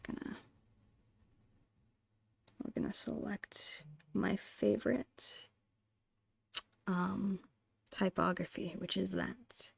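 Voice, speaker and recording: A woman murmurs through an ASMR drawing session in a breathy, often whispered voice. Her speech is very slow and full of heavy creak. The sound is close and dry, as she narrates in short, soft phrases. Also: medium pitch, no echo. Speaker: digital artist hosting an art channel.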